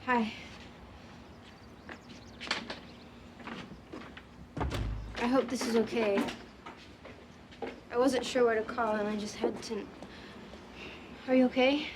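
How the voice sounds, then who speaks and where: An actress with an American accent playing an earnest, defensive nineteen-year-old daughter in a television drama. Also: female voice, on the high side, fairly even intonation, a little slow, background noise, natural voice. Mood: hesitant, apologetic. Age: young adult.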